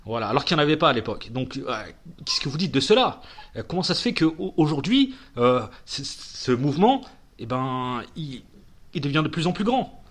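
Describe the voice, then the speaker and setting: A man's voice: a little high, very animated, moderate pace, fairly bright tone. Hesitant male podcast host. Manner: hesitant, many fillers, presses his guest. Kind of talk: podcast interview.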